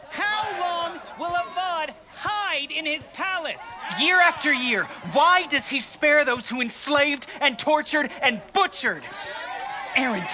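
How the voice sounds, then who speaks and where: A furious street agitator who bellows at a crowd, his voice pitched very high and his words slow and heavy. A loud crowd murmurs and noise rolls behind him. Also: exaggerated voice. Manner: shouting, rabble-rousing. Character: angry male street agitator crying for vengeance.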